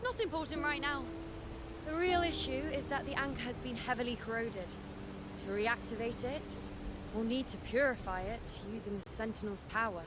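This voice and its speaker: An actress playing a brisk, determined strategist in a game cutscene, direct and businesslike. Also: female voice, a little high, very noisy, lightly acted. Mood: curt, determined. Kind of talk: voiced game cutscene dialogue. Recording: loud music behind voice.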